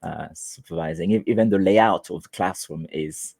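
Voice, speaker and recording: Slow, gravelly-voiced man with deep pitch. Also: darkish tone, no room sound, studio quality. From Haiti. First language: Haitian Creole. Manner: halting, many restarts and fillers. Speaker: teacher and guest. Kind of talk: conversational interview answers.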